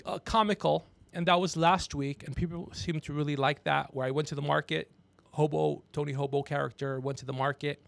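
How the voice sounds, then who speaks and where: Rambling, joking man with a higher-pitched voice. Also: creaky, no room sound. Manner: rambling, joking, self-promoting, talks to off-mic crew member. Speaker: podcast host and comedian. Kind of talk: rambling casual livestream chat. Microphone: podcast microphone with headphones.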